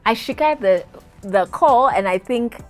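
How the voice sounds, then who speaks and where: A woman in her thirties with a Kenyan accent, telling her story as an interview guest, animated and laughing, slipping into Swahili words. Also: a little high, measured pace, faint music behind.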